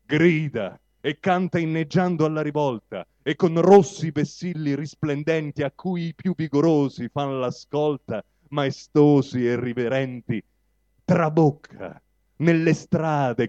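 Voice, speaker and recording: Slow-paced man, dull lo-fi recording. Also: on the high side, dark tone, no room sound. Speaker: poetry reader at a public reading event. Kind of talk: spoken introduction and declaimed recitation of poetry. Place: live reading at a public venue. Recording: dull, lo-fi live event recording.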